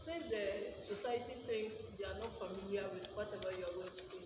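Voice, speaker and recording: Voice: mid-range voice, fast. Distant, muffled voice in a noisy echoey room. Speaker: class participant. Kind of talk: classroom discussion.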